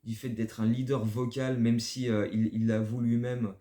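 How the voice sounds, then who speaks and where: A man's voice: mid-range voice, level tone, moderate pace, dark tone, reverberant. A man hosting a basketball fan channel, giving casual spoken commentary that rambles and often stumbles over repeated words.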